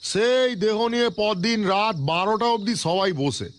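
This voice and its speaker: An actor playing an aggrieved villager in an audio drama, complaining with sarcasm. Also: male voice, high-pitched, unhurried, a little hiss or hum. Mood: bitter, exasperated. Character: aggrieved villager speaking up from a crowd.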